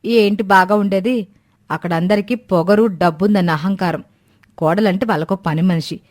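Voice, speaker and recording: A woman's voice: mid-range voice, moderate pace, a little mellow, lightly acted. A spoiled young sister-in-law, voiced by a woman complains in a mid-range voice. She is haughty and petulant, a pampered figure from a storybook drama.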